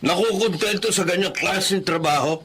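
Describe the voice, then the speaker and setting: A man's voice: high voice, moderate pace, gravelly, fairly bright tone, slight background noise. A man playing a stern, belittling middle-aged father with a Filipino accent in a radio drama, harsh and scolding. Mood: angry, scornful.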